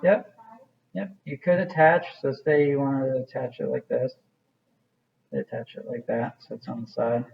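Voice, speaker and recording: A man's voice: medium pitch, fairly even intonation, normal speed, vocal fry, slightly rough, dull audio. A man teaching an art class, walking students through a clay project in a casual, rambling way with many fillers. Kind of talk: classroom demonstration.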